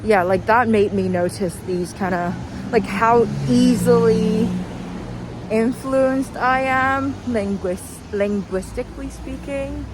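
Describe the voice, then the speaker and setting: Young female vlogger, unhurried delivery, self-deprecating and tangential, recorded outside on a walk over noticeable city noise. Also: fairly high pitch, fairly even intonation. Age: young adult. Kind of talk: casual rambling vlog while walking. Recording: noisy outdoor recording with traffic.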